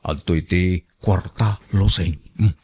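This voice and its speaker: Slow, teasing old suitor. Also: male voice, sits low, steady tone, vocal fry, darkish tone, husky, exaggerated voice. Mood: teasing, pleased. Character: older male pensioner courting a woman, generous, teasing. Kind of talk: radio drama acting.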